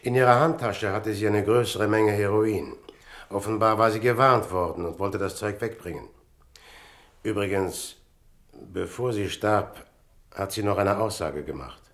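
A man playing a composed, shrewd investigator who speaks in a measured, matter-of-fact way in a German radio play. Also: lowish voice, a bit bright. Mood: calm, matter-of-fact. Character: composed, shrewd male investigator, friend of the inspector.

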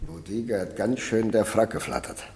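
A man's voice: lowish voice, moderate pace, a little mellow, a little hiss or hum, lightly acted. An actor playing a plain-spoken, chatty milk-truck driver in his thirties in a German radio crime drama. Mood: chatty, low-key. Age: 30s. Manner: colloquial, chatty, down-to-earth.

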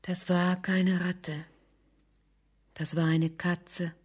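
An actress playing an anxious mother in a radio drama, speaking in a hushed whisper. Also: fairly low pitch, level tone, extremely slow, slightly rough, full character voice. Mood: soothing, tired. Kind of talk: German radio drama acting.

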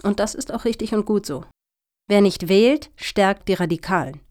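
A woman's voice: mid-range voice, normal speed, a little mellow, close to an everyday voice. A woman acting in a radio satire as a composed, self-assured political campaigner with a polite, prim manner. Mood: smugly composed.

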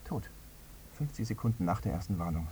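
An actor playing a guilt-ridden airline pilot in a radio drama, fretful and subdued, brooding repetitively. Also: male voice, low-pitched, animated, fairly quick, warm tone. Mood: guilty, brooding. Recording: muffled, dull, faint background noise.

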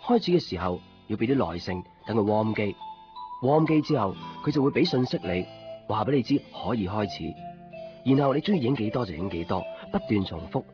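A male actor plays an inquisitive, fixated young man in a radio drama. His pitch swings widely. The recording is dull and band-limited, with music clearly behind it. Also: lively intonation, fairly quick, close to an everyday voice. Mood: reflective, quiet. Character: curious, obsessive young man narrating his own story. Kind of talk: Cantonese radio drama, introspective narration and dialogue.

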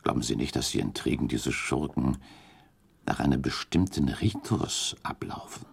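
An actor playing a shrewd, calculating count in a radio drama, speaking in a deliberate, formal and pointed way. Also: male voice, a bass voice, lively intonation, creaky, breathy edge, heavily acted. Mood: analytical, warning. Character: shrewd, calculating count advising a prince, diplomatic. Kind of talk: German radio drama acting.

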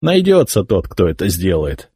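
A man's voice: mid-range voice, very animated, normal speed, mellow, husky, no echo, studio quality, voice pushed up. A man voicing an arrogant young heir to the throne who mocks and threatens his old uncle. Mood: sneering. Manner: contemptuous, mocking. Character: arrogant young male emperor's heir, sneering. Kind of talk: audiobook character voicing.

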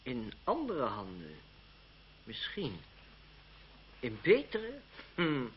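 An actor playing a cynical, witty young French lawyer in a radio drama, with an ironic, condescending, urbane manner. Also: male voice, medium pitch, huge pitch swings, very slow, heavily acted. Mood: dry irony. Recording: muffled, hissy old radio drama recording.

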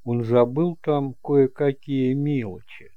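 Slow male narrator in a roomy recording. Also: medium pitch, fairly even intonation, narrow, tinny audio. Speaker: amateur audiobook narrator. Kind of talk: scripted dramatic narration of a detective novel.

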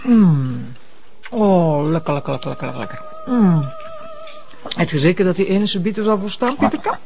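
A woman acting as a detective's warm, teasing, chatty Flemish partner in a naturalistic television drama. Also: on the low side, expressive, moderate pace, faint music behind.

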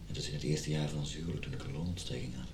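Pleading husband, deep voice, radio drama, earnest, emotional, persuasive, echoing room. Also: male voice, steady tone, racing. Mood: confessional, tender. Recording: roomy sound with background rumble.

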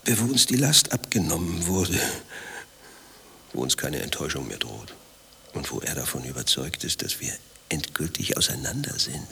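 A jealous, cowardly lover in a radio play. His voice is bright and forceful, theatrical in delivery, wounded and pleading by turns. There is noise audible in the recording. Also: a bass voice, big pitch swings, normal speed, creaky, heavily acted. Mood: subdued, hesitant. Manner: theatrical, swinging from indignant outbursts to hushed pleading. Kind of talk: dialogue acting in a radio play.

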